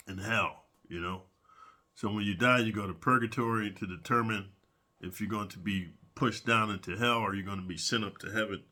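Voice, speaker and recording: A man's voice: on the low side, sharp tone. Sniffly man rambling prison stories. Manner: rambling, peppers talk with "man" and "dude". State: sniffling, stuffy from allergies. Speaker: prison storyteller. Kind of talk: casual storytelling monologue.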